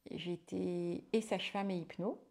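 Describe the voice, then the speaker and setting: Guest, woman, somewhat low voice, halting and conversational. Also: fairly even intonation, creaky, a little mellow. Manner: hesitant, many fillers and restarts. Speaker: hypnotherapist and midwife, guest. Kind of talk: casual conversational interview.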